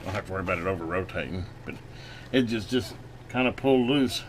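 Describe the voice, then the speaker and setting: A man giving a casual hands-on repair demonstration, folksy and unhurried as he talks while working. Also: sits low. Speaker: channel host doing electronics repair. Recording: noisy room, clearly audible background noise.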